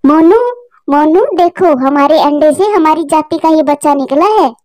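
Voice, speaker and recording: A woman voicing an emotional, gentle female bird in a cartoon story, with a plaintive, expressive delivery. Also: high voice, moderate pace, dry recording, studio quality, lightly acted. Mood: tender, hopeful. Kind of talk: Hindi cartoon story dialogue.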